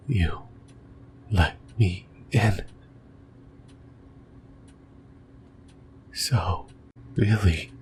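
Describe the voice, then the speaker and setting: A man voicing a creepy, possessive, lonely sleep paralysis demon in a whispered ASMR roleplay, breathy and halting, close to the mic. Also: low-pitched. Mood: possessive, intimate. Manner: slow, halting, rambling, intimate musing. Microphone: close microphone.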